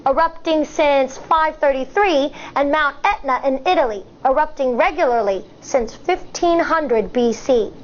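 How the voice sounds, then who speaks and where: A woman, an elementary school teacher, reading a nonfiction picture book aloud to young children in a deliberate, explanatory way. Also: sits high. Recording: dull, muffled lo-fi sound.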